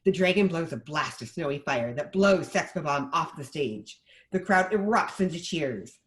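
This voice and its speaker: A man's voice: a little high, expressive, moderate pace, a bit bright. A man reading stage directions as scripted narration for a table read.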